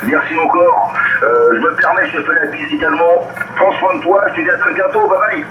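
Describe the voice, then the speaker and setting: A man's voice: fairly high pitch, steady tone, a little fast. A man chatting casually as a CB radio operator, heard through a radio transmission. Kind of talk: casual sign-off chat. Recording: dull, noisy, roomy radio reception.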